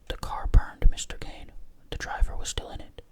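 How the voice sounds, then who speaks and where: A reader whispering the part of a slow, solemn male police sergeant in an audiobook. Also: close to an everyday voice. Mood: grave, firm.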